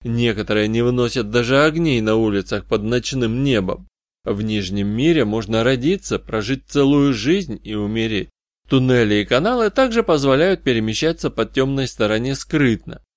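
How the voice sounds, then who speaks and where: A man's voice: mid-range voice, expressive, normal speed, dry recording. Male audiobook narrator, dry close recording. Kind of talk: scripted first-person detective fantasy narration.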